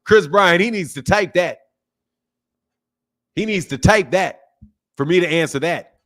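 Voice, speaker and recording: Guy from the us w/ high pitched voice, casual live chat host, slangy and emphatic, repeats himself a lot. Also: expressive, unhurried, a bit bright. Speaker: livestream host of a boxing talk channel. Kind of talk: casual livestream chat, reading and answering viewer messages.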